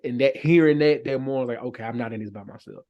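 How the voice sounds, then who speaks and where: American man, podcast guest. Medium pitch, frank and colloquial, loose conversational delivery that circles back on phrases. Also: quick pace, dull audio. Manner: candid, colloquial, repetitive phrasing. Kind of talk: casual chat.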